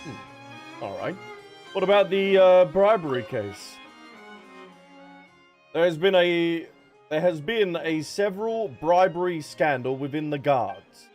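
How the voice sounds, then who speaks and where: A man's voice: fairly high pitch, expressive, slow, pauses often, darkish tone, lo-fi sound. A man casually commentating a game on a livestream in a playful, chatty way, sometimes reading text aloud. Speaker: game streamer. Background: game music.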